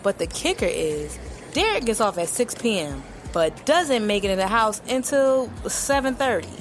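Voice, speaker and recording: A woman's voice: medium pitch, expressive, normal speed, soft background music, lightly acted. An actress playing a fed-up, suspicious wife and mother, talking to a friend in emphatic, slangy audio drama dialogue. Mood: exasperated, suspicious.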